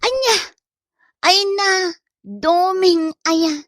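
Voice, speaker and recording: A woman's voice: very high voice, expressive, drawn-out words, no room sound, clean studio sound, exaggerated voice. A woman playing a suspicious, nagging wife in an Ilocano comedy drama, scolding and exclaiming. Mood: exasperated scolding. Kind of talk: comedy radio-style drama acting.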